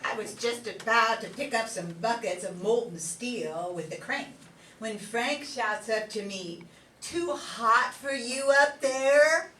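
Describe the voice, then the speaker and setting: Feisty, tired 1940s crane girl played by a woman, unhurried, highish, echoey radio drama. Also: a bit bright. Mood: feisty, boastful. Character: weary but feisty young 1940s crane operator woman. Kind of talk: amateur radio play acting. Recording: echoey room, distant mic, background noise.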